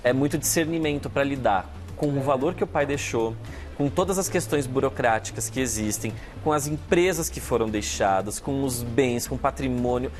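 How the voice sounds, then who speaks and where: A man's voice: mid-range voice, normal speed, soft background music. A Brazilian man in his thirties giving informal, explanatory commentary on a gossip show. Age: 30s.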